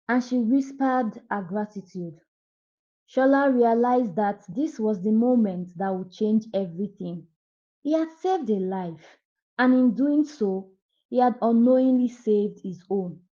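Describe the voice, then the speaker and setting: A woman's voice: a little high, dark tone, lo-fi sound. A woman narrating a scripted folktale as a storyteller.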